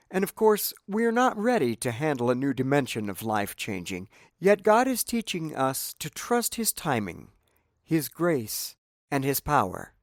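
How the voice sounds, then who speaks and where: A man's voice: on the high side, no echo, studio quality. A man from Cambodia with a Cambodian accent, reading his own inspirational memoir as scripted narration in an earnest, preaching manner.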